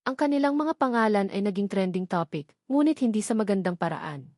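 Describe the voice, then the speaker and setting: A woman's voice: medium pitch, lively intonation, fairly quick, a little mellow, no echo. Female storyteller, Filipino accent, scripted romantic drama narration, mid-range voice in a dry, close recording.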